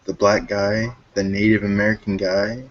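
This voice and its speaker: A young man guest on a casual live podcast, throwing out deadpan, provocative jokes. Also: on the low side, moderate pace. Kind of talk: casual live-stream chat. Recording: dull lo-fi audio with faint noise.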